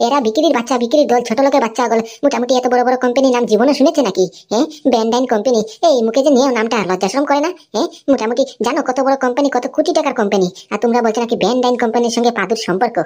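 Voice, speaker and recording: A woman's voice: a little high, rapid-fire, few pauses, a little mellow, natural voice. A woman voicing a haughty rich cartoon woman who scolds and taunts with repeated questions. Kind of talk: amateur cartoon dialogue.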